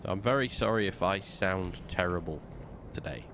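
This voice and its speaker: A man's voice: deep voice, lively intonation, fairly bright tone, a little hiss or hum. A man streaming a game, talking through his building plans, snuffly from a slight cold. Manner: slow, muttering to himself while building. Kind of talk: casual gameplay commentary.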